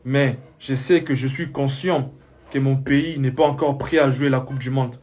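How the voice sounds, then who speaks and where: A man's voice: medium pitch, fairly even intonation, normal speed, darkish tone. Man rambling about football. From DR Congo. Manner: repetitive, leans on fillers like "voilà" and "hein". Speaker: football reaction creator. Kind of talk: casual reaction talk.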